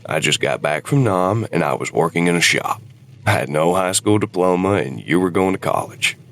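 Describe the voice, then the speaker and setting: A man's voice: low voice, animated, normal speed, a bit bright. A man playing a weary older husband and war veteran, regretful but devoted, in an audio drama. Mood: wistful, regretful.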